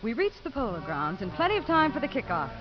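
A woman's voice: a little high, wildly swooping pitch, fast, music in the background. An actress playing a composed, dryly skeptical woman in a 1950s radio drama. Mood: wry narration. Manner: dry, composed. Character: composed, dryly skeptical grown woman narrating her story. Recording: muffled, noisy 1950s radio broadcast.

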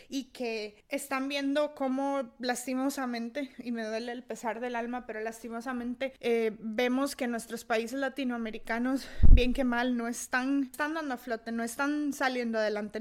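A young adult woman with a Costa Rican accent, hosting her own podcast in a reflective, self-deprecating solo talk with many fillers and restarts. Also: sits high. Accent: Costa Rican Spanish. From Costa Rica. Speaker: podcast host. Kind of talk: casual solo monologue.

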